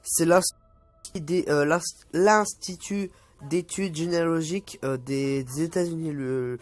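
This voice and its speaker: A man's voice: a little high, a little slow, faint music behind. A young man vlogging casually, reading an article aloud and stumbling over words, with many fillers. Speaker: youtuber.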